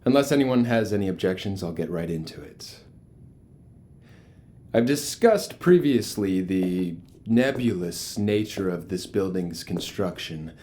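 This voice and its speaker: An actor playing a charismatic, ominous cult leader in a horror audio drama, preaching grandiosely with rhetorical pauses. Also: male voice, low voice, animated, mellow. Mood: measured, ominous. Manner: theatrical, grandiose, pausing for rhetorical effect.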